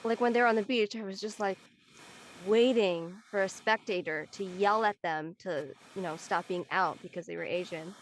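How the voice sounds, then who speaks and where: A woman from the United States with a medium-pitched voice shares opinions in a casual group talk. Light room noise sits behind her. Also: moderate pace. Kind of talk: casual panel discussion. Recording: background noise and room ambience.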